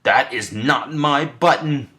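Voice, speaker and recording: A grumpy male toad from a children's storybook, acted out by a man. He is easily exasperated and complains emphatically, stretching his words at a slow pace. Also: mid-range voice, sharp tone, voice pushed up. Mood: wailing, furious.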